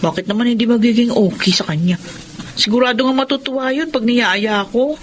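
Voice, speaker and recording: An actress with a Filipino accent playing a concerned, moralizing younger sister and wife in a radio drama. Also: female voice, fairly high pitch, brisk, a little hiss or hum, natural voice. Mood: caring, casual. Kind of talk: Tagalog radio drama acting.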